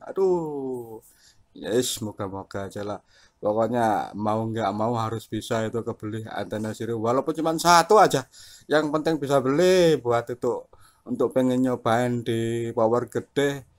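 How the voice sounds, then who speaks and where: A man chatting casually about technical matters as an enthusiastic radio hobbyist. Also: mid-range voice.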